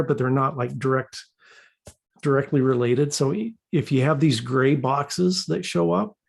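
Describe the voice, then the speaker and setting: A man with a husky, creaky voice explains something informally to a group. He is hesitant, restarting and repeating words as he looks for the right phrasing. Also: mid-range voice, darkish tone. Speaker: class participant presenting an example.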